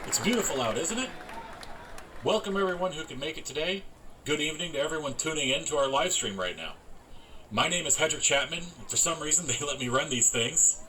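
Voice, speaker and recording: An actor playing a genial, folksy middle-aged man who emcees a community event in a measured public-speaking manner. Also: fairly high pitch, expressive, pushed and bright, some chatter behind, slight background noise, poor audio. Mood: genial, welcoming. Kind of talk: public welcome speech.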